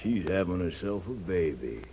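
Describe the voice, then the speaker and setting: A man's voice: low voice, expressive, moderate pace, dark tone. An American actor playing a folksy, gossipy townsman of a western mining camp in a 1950s radio drama, with a rustic drawl. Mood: folksy, amused. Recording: dull, noisy vintage radio recording.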